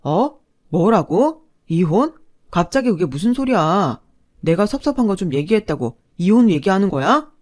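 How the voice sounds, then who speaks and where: A woman's voice: mid-range voice, big pitch swings, normal speed, a little mellow. A woman voicing a sulky, whining husband. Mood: shocked. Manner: whining, defensive complaints. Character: sulky resentful husband, young adult man.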